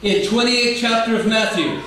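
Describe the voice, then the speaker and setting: High-voiced preacher in echoey church. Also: male voice, normal speed, fairly bright tone, slight background noise. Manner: playful, speaks in short phrases for translation. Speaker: guest preacher. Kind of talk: sermon with interpreter. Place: church sanctuary. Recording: echoey church hall from far off.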